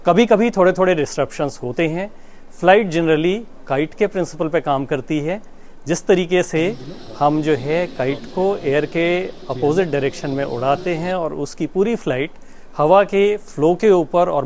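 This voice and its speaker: A man with an Indian accent giving a formal, explanatory address as a manager, in measured phrases with pauses mid-sentence. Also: a little high, a little mellow. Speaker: air traffic control manager. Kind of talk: explanatory public address to camera. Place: inside an airport control tower.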